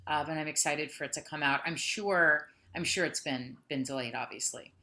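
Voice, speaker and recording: Bright-voiced woman telling stories. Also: low-pitched, moderate pace. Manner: animated storyteller, laughs often. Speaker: guest, singer-songwriter and actor. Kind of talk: casual interview chat. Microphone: video call.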